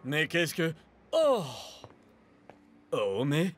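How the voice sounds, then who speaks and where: A highish male voice as an awestruck cartoon antiquities enthusiast. He speaks slowly and marvelling, with music clearly behind him. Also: huge pitch swings, heavily acted. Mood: amazed. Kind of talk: French cartoon voice acting. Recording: music under the voice.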